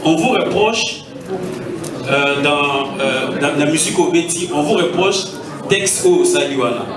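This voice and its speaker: A slightly high-voiced man asks a long, rambling question, mixing in Lingala. He is far from the mic in a very echoey hall. A crowd murmurs behind him. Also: animated, unhurried. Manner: mixes French and Lingala, rambling. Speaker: journalist asking a question. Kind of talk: press conference question.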